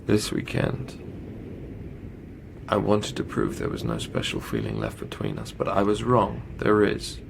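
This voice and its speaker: A man's voice: low-pitched, sing-song, unhurried, creaky, background noise, exaggerated voice. An actor playing a guarded, self-absorbed, conflicted young lover in a radio drama, hesitant and confessional as he narrates and talks. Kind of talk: radio drama acting with first-person narration.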